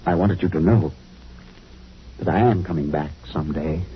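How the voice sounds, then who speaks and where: Man playing a deep, grave spaceship captain. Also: normal speed, lightly acted. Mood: tender, regretful. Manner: grave, earnest, authoritative. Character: male spaceship captain, grave, humane, commanding. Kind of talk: 1950s radio drama acting. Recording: muffled old radio recording, faint hiss.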